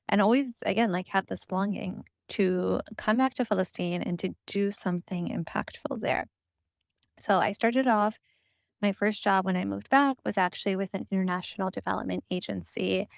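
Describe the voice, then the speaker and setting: A woman's voice: mid-range voice, creaky, dry recording, studio quality. A woman with an American accent telling her story conversationally in an interview, reflective and informal, often saying "like" and "you know". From United States. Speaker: podcast guest and co-founder.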